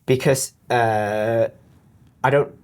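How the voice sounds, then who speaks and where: Deep-voiced man explaining earnestly. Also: fairly even intonation, extremely slow, clean tone. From Hong Kong. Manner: earnest, explanatory, frequent restarts. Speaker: interview guest. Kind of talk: conversational interview.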